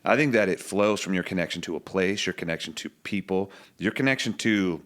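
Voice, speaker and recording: A man with a very low, deep voice talks as a songwriter guest on a podcast. He muses his way through ideas, circling back and restarting as he thinks out loud. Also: animated, brisk. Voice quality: low singing voice. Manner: thoughtful, musing, loose restarts. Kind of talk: reflective podcast conversation.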